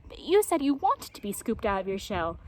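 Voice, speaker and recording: A woman's voice: mid-range voice, sing-song, brisk, a little mellow, lightly acted. A woman voicing an earnest, easily puzzled young jaguar in a children's storybook, with an emphatic, childlike, petulant manner. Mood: muddled, exasperated.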